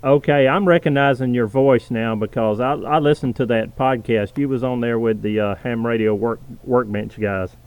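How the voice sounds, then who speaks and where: A man's voice: mid-range voice, steady tone, normal speed, few pauses, a little mellow, clear, resonant tone, no room sound, poor audio. Southern man, casual radio chatter. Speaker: amateur radio operator. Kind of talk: casual ham radio chat.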